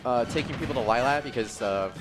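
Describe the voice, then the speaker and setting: A man's voice: medium pitch, fast, music in the background. A man giving casual, analytical live commentary on a video game match, conversational and reacting to the plays.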